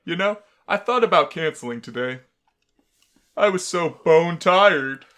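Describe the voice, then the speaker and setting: A man's voice: fairly high pitch, lively intonation, unhurried, fairly bright tone, smooth, pitched above the performer's own voice. A man voicing a smug, laid-back skeleton comedian with a punning, showman-like delivery for a visual novel. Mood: jokey, showy. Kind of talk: fan visual novel voice-over.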